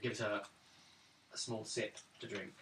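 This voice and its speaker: A man narrating a tabletop role-playing game as game master, with halting, improvised phrasing. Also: fairly low pitch, flat delivery, fairly bright tone. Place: indoor room around a gaming table. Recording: roomy, distant mic, faint noise, clicks.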